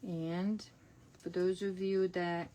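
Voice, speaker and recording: Slow-talking Italian-accented woman crafter. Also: fairly low pitch, little pitch movement, warm tone, lo-fi sound. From Italy. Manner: chatty, narrates each step, self-correcting. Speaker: crafting demonstrator. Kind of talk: casual online craft class.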